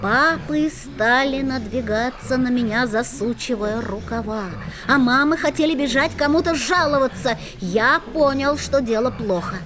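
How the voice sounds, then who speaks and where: A mischievous schoolboy narrator. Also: female voice, high-pitched, measured pace, few pauses, a crowd in the background. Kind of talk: radio play narration. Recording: music playing behind.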